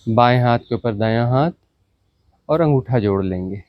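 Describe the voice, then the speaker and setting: A man teaching guided meditation, giving instructions in short commanding phrases with pauses and mixing in English words. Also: medium pitch, lively intonation, muffled recording. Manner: mixes English words into Hindi.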